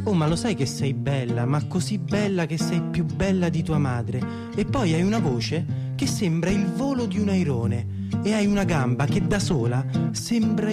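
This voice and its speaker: An actor playing a delirious, cheeky poet-lover in radio theatre, wooing in a florid, playful manner. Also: male voice, fairly high pitch. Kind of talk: poetic radio theatre over guitar. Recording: loud guitar music over the voice.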